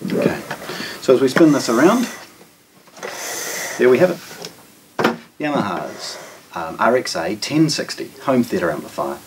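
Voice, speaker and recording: A man's voice: medium pitch, big pitch swings, measured pace, long pauses. A man in his forties with a New Zealand accent presents a product walkthrough in an unscripted, matter-of-fact way. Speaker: shop presenter. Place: indoor audio showroom. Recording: distant mic with background noise.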